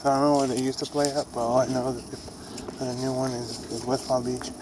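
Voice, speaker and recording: Young male vlogger with a stuffy nasal voice, monotone rambling, recorded on a phone outside with heavy noise. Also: medium pitch, quick pace. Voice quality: nasal, congested. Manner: rambling, with restarts and fillers. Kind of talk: casual rambling baseball vlog. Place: outdoors near buildings. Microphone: phone camera held low.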